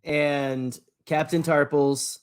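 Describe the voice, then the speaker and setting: Rambling, higher-pitched man on a podcast. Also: level tone, drawn-out words, clean tone. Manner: rambling, jokey. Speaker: podcast co-host. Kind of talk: casual banter on a fan podcast.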